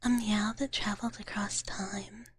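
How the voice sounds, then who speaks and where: A woman whispering softly and breathily in a gentle, doting big-sister manner, chatting as an ASMR livestreamer close to the microphone and often repeating her words. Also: medium pitch, level tone, unhurried, a little hiss or hum. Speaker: ASMR streamer. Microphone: close microphone, dry sound.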